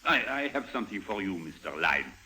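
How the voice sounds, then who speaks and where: Fussy, swooping concierge. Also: male voice, lowish voice, big pitch swings, bright, forceful tone, a little hiss or hum, exaggerated voice. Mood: discreet, knowing. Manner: polite, fussy, measured formality. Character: formal, discreet, fussy French male hotel concierge. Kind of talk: 1950s radio drama acting. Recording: 1950s radio recording.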